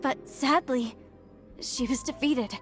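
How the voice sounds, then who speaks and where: A woman's voice: sits high, slow. An actress playing an earnest, devout young woman in a video game, speaking formally and with impassioned drama. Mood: excited. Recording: music under the dialogue.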